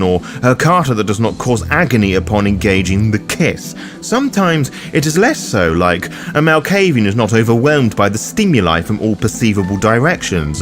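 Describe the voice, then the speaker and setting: Male narrator, theatrical delivery, very animated intonation, mid-pitched voice, scripted guide style, music behind. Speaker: host of a roleplaying guide show.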